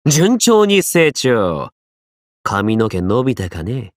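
An actor playing a roguish, easygoing young martial artist assassin in an anime mobile game, with a cocky, theatrical swagger. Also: male voice, medium pitch, wildly swooping pitch, takes it slowly. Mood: breezy, playful.

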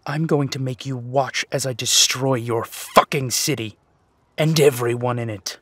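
Guy doing a menacing villain out for revenge, husky voice, takes his time, audio drama style. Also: medium pitch, steady tone, dry recording. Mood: fierce, vengeful. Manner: slow, deliberate, menacing delivery. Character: vengeful, menacing male villain, ruthless and coolly mocking.

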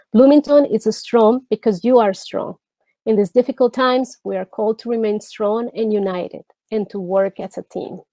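Middle-aged woman, board chair, earnest and halting, mellow tone, dry earbud-mic sound. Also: medium pitch, no echo. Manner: earnest, halting, restarts and repeated words. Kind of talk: public meeting remarks over video call. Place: home room with brick wall. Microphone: earbud headset on video call.

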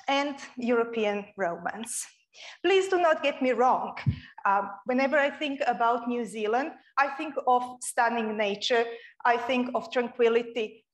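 A young woman from Croatia giving an earnest, expressive public lecture as a guest speaker in a lecture room. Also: a little high.

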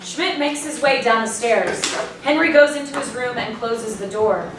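A woman's voice: fairly high pitch, animated, moderate pace. A woman reading stage directions aloud as scripted narration for a staged play reading. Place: small indoor venue, before a seated audience. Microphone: far camera. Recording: very echoey, distant, noisy room recording.

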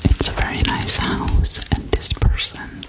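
A woman voicing a sweet, sassy alien girl in a breathy ASMR whisper, close to the mic, repeating phrases softly. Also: a little slow, natural voice. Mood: gentle, curious. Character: sweet but sassy alien girl newly arrived on Earth. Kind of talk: ASMR roleplay. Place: small room at home. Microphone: close desktop microphone in front of mouth.

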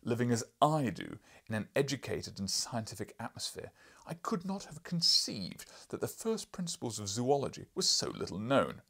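A man's voice: medium pitch, big pitch swings, normal speed, vocal fry, few pauses, fairly bright tone, slightly rough, heavily acted. A man voicing an imperious, irascible older professor and explorer in an audiobook, lecturing pompously in a declamatory way. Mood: severe, lecturing. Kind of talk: audiobook character reading.

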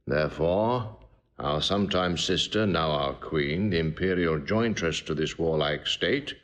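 An actor playing a regal, smooth-talking king in classical drama, with stately, measured oratory. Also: very deep voice, fairly bright tone. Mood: formal, commanding. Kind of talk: classical stage drama acting.

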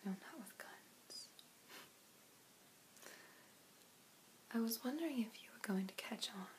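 A young woman playing a gentle, apologetic vampire in an ASMR roleplay, whispering slowly and deliberately with pauses. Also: on the high side, animated, close to an everyday voice. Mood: calm, reassuring. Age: young adult. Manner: slow, hushed, deliberate, with pauses. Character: gentle, apologetic female vampire rescuer, ancient but youthful. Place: small room indoors. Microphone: phone or webcam at arm's length.

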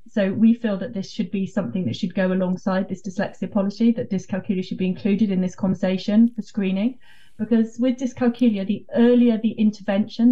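British woman explaining stuff on radio, relaxed mellow voice, muffled remote sound. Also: mid-range voice. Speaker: interview guest, dyscalculia network co-founder. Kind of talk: explanatory radio interview answers. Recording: dull, slightly distant remote link.

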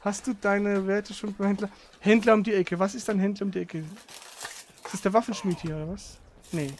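A man's voice: high voice, steady tone, moderate pace. A man doing casual gaming commentary, thinking aloud in a slangy, grumbling way. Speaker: let's player. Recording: clear background noise.

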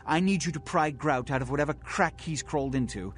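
An actor playing a haughty, impatient vampire leader in a video game, with ornate, condescending phrasing. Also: male voice, a little high, brisk, natural voice. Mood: haughty, weary. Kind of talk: scripted video game acting. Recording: music behind.

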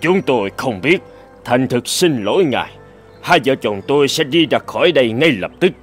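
A man's voice: mid-range voice, expressive, moderate pace, soft background music, natural voice. A man voicing a scheming old male sage in a cartoon fairy tale, gloating and wheedling.

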